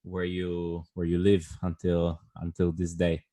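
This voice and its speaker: Low-voiced, joking podcast host man. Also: monotone, measured pace, mellow. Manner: casual, joking, teasing, swears freely. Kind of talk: casual podcast interview.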